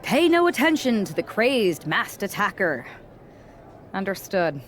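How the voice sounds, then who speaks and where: A woman's voice: medium pitch, very animated, a little slow. A hard-edged female fighter in a video game story. She talks with dry sarcasm and simmering anger, over faint background noise. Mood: sarcastic. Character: tough angry female wrestling rookie, former MMA fighter, sardonic. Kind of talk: video game cutscene acting.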